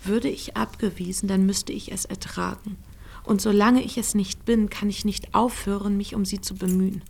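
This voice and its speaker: A woman's voice: medium pitch, steady tone, normal speed, vocal fry, darkish tone, husky. An actress in a German radio play, playing an anguished, principled young woman who speaks intensely, half to herself. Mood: pensive, pained. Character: Fanny, quietly anguished young woman, principled, secretly lovelorn.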